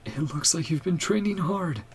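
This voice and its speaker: A woman's voice: fairly low pitch, animated, moderate pace, darkish tone, breathy edge. A woman streamer giving a casual gameplay reaction, musing in short remarks and reading dialogue aloud. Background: game music and dialogue.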